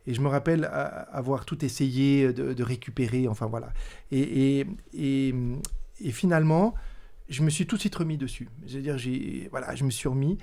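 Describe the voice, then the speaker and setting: A man who is a pastor and student, chatting in a wry, relaxed way. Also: mid-range voice, moderate pace.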